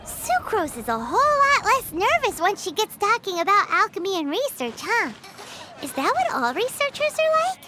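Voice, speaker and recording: A woman's voice: wildly swooping pitch, normal speed, loud music behind the voice, exaggerated voice. A woman voicing a tiny floating fairy-like companion who is chatty and greedy and talks about herself in the third person. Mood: cheeky. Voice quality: high, squeaky, refers to herself by name.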